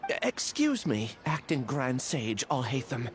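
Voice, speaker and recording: Jittery young game witness, lively pitch, hesitant. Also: male voice, a little slow, noisy background. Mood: nervous. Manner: hesitant, stammering.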